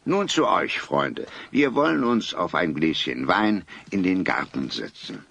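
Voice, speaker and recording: A grave old grandfather with a deep, husky voice. He speaks slowly and formally, swinging through solemn, courteous phrases in an audio drama. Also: very animated, a bit bright, lo-fi sound, heavily acted. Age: older. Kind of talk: German audio drama acting.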